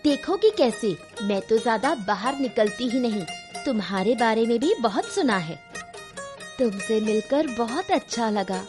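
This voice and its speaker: Woman acting a high-voiced, scheming village rich girl. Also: lively intonation, unhurried, music in the background. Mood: falsely sweet. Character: scheming, jealous, vain village rich man's young daughter.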